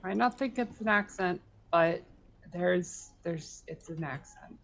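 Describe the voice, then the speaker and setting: A woman's voice: lowish voice, slow pace, fairly bright tone, slight background noise. A woman chatting casually with friends during an online game, hesitant and often restarting her sentences. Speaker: friend in group game chat. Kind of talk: casual gaming chat.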